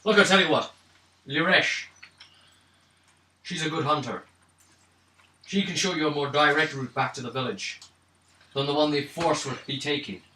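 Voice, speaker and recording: Man, game master, slightly high bright voice, echoey room, distant mic. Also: moderate pace, long pauses. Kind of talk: improvised tabletop role-play narration and in-character dialogue. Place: echoey room at a gaming table. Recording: roomy, distant mic, faint noise.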